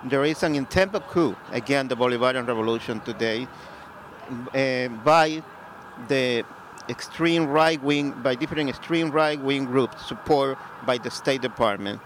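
A man with a Venezuelan Spanish accent speaks earnestly at an outdoor rally. He pauses often and works through his points with fillers. Faint street noise sits behind him. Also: mid-range voice, fairly bright tone. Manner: earnest, halting, with many fillers. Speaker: activist interviewee. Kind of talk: street interview.

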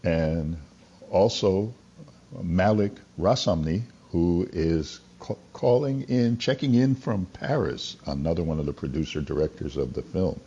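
Male radio host, low mellow voice with a husky edge, interviewing guests. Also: animated, a little slow, lo-fi sound. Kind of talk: live radio interview hosting.

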